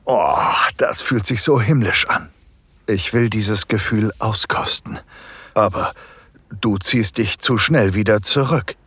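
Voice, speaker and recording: Casting: male actor as submissive, aroused husband in erotic audio drama; very husky, breathy, slow and hushed delivery. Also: on the low side, dry recording, full character voice. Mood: aroused, pleading. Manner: slow, hushed, halting with moans. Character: submissive married man, construction foreman, eager, aroused, pleading. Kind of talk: intimate first-person erotic audio drama narration.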